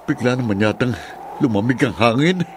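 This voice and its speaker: A man's voice: mid-range voice, lively intonation, normal speed, noisy background, natural voice. An actor in a radio drama playing a frightened middle-aged village father who stammers nervously. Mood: scared.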